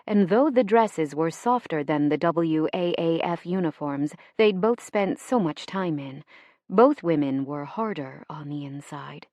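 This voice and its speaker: A woman's voice: sits low, a little mellow, no echo. Woman narrating a dramatic novel, close dry sound. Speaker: audiobook narrator. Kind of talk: dramatic scripted fiction narration.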